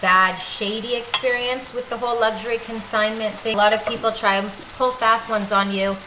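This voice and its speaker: A woman's voice: on the high side, fairly even intonation, normal speed. A woman in her thirties with a chatty, confident manner, talking casually with playful asides while she cooks at home. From Canada. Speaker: vlogger. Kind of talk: casual chat while cooking. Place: home kitchen. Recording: echoey kitchen, faint noise.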